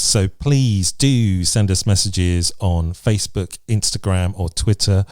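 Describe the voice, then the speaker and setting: A man with a fairly low voice hosts a casual podcast. The sound is dry, with hardly any room on it, and he talks in an informal, conversational way. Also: dark tone, clear, resonant tone, dry recording. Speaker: podcast host.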